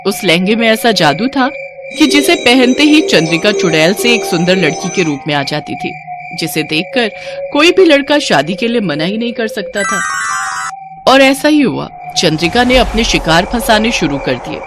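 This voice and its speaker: A woman narrates a scripted tale in dramatic storytelling style. Her pitch is animated and varied, and music plays clearly behind her. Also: normal speed.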